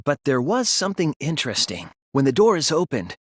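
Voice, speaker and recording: A man voicing an earnest, analytical teenage boy detective in an English video game dub, speaking in a deliberate, resolute way. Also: fairly high pitch, huge pitch swings, husky, no echo, clean studio sound, full character voice. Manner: deliberate, earnest, explanatory delivery.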